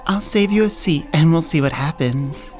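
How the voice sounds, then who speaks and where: A woman playing a confident, dominant elf coworker who flirts adoringly, soft-spoken in a close-miked ASMR romance roleplay. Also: low-pitched, expressive, normal speed, faint music behind. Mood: teasing, seductive. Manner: teasing, doting, self-assured. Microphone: close binaural studio microphone.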